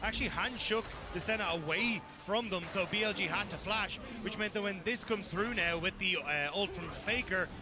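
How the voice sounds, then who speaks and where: A man's voice: high-pitched, brisk, few pauses, music in the background. A man giving live analysis as a commentator on an esports match.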